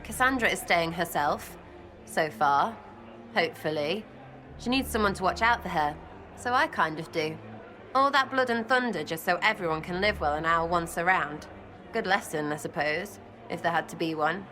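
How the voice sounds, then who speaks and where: A British actress playing a cheeky, blunt young elf rogue in a fantasy game, talking in clipped, irreverent slang. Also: female voice, lowish voice, moderate pace, pushed and bright, soft background music. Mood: wistful, blunt. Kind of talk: video game dialogue acting.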